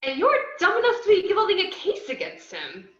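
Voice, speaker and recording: A woman playing a brash, playful, unhinged young antiheroine with animated, theatrical delivery in an amateur film script table reading on a video call. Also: extremely high pitch, a little fast, heavily acted. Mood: urgent, bossy. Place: home, on a group video call. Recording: roomy, dull video-call audio.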